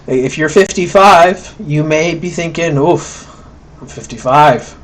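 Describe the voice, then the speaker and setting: A man's voice: a little high, slow pace, fairly bright tone. A man giving a casual, conversational recorded lecture, often checking in with "right?" and acting out quoted thoughts. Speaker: college instructor.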